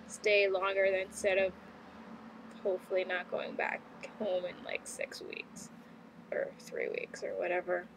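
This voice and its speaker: A woman's voice: medium pitch, background noise. A young woman from Canada chatting casually in a video diary, rambling with lots of ums and little laughs. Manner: rambling, many fillers and laughs. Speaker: vlogger.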